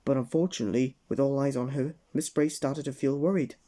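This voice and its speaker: Casting: male bedtime-story reader, mellow dark tone, gentle storybook narration for children. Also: medium pitch, steady tone, brisk.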